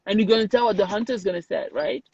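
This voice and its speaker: A woman's voice: medium pitch, fast talker, a crowd in the background. A woman from Haiti, talking earnestly and emphatically in a casual conversation, repeating words for weight. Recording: dry, dull lo-fi sound.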